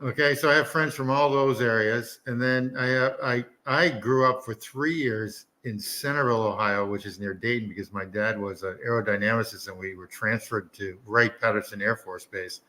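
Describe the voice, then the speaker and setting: Older male guest, bright edgy tone, keeps talking, restarts his sentences. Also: fairly low pitch, fairly even intonation, low-quality recording. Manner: talkative, quick to share, repeats himself. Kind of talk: casual chat.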